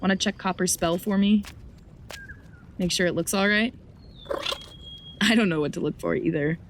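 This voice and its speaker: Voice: mid-range voice, normal speed, a little hiss or hum, close to an everyday voice. A performer playing a wry, lonely traveler in a naturalistic audio drama, casually and dryly muttering to themselves.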